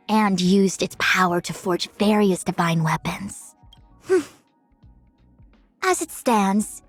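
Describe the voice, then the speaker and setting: A woman's voice: medium pitch, dry recording, lightly acted. A woman playing a proud young female diviner in a video game, speaking in composed, formal, lofty phrases. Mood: wry, exasperated. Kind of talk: scripted game character voice lines. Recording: studio-dry voice over music. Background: music.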